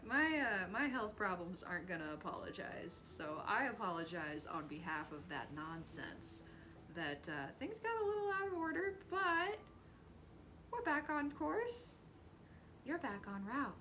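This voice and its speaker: A woman's voice: medium pitch, very animated, measured pace, background noise. A woman chatting casually on a craft livestream, bubbly and greeting viewers by name. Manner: bubbly, greets chat warmly. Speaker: streamer. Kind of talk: casual chat on a craft stream.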